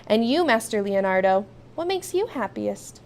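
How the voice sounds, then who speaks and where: A woman voicing a gentle male advisor and family friend in a storybook, mild, unhurried and reassuring. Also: medium pitch, very animated, a little hiss or hum.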